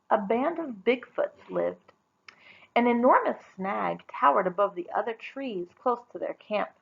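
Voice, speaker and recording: A woman reading a children's story aloud in a dramatic, deliberate storytelling manner. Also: medium pitch, gravelly, muffled recording. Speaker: storybook reader. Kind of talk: expressive children's read-aloud narration.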